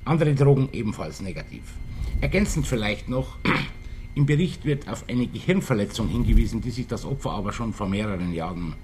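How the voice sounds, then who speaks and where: An actor playing a matter-of-fact police detective with a laconic, clipped way of reporting, in a radio crime drama. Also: male voice, on the low side, lively intonation, a little fast, a little mellow, slight background noise, lightly acted. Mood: dry, factual.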